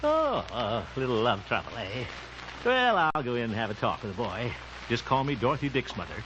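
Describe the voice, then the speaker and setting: Guy acting folksy chuckly self-assured radio comedy man, super animated pitch, hissy old recording. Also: fairly quick, close to an everyday voice. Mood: breezy, self-assured. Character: jovial, self-satisfied small-town bachelor radio entertainer. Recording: muffled, noisy old-time radio recording.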